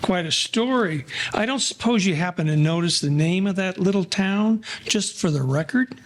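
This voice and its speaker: An actor playing a chatty, curious gangster in a 1940s radio play, with a casual way of talking. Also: male voice, high-pitched, animated, moderate pace, slight background noise.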